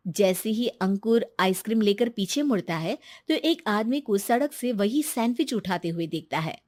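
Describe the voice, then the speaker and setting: A woman's voice: medium pitch, lively intonation, normal speed, darkish tone, dry recording, clean studio sound. A woman narrating a moral bedtime story for children in a scripted storytelling style.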